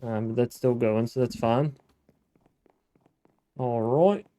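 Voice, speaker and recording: A man's voice: medium pitch, slow, mellow, no room sound. A man hosting a gameplay video, talking casually to himself as he plays, thinking aloud with restarts. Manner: chatty, thinking aloud, frequent restarts and self-talk. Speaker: gaming let's-play host.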